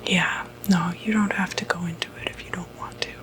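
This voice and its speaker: A woman whispering an ASMR roleplay as a sympathetic, non-judgmental doctor, speaking gently with reassuring, hesitant pauses. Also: lowish voice, steady tone. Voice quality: breathy, unvoiced whisper. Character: sympathetic, non-judgmental doctor who treats demonic possession.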